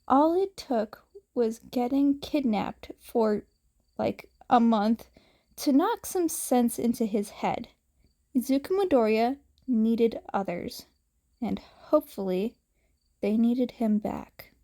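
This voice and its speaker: Female narrator for audio fiction: high pitch, unhurried reading, mellow tone. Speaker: fanfiction podfic narrator. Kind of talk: scripted story narration.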